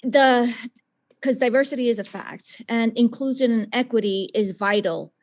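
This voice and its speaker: A woman's voice: a little high, normal speed. A woman from the South Bronx speaking as a scientist and teacher on a webinar panel, passionate and emphatic in her answer. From South Bronx, New York.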